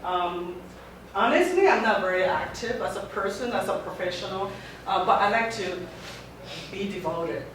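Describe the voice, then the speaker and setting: A middle-aged woman from Taiwan giving an informal talk to a student group, speaking in halting phrases that often restart. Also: mid-range voice, animated, a bit bright. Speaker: guest speaker. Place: lecture room. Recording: very echoey room, mic far off, background noise.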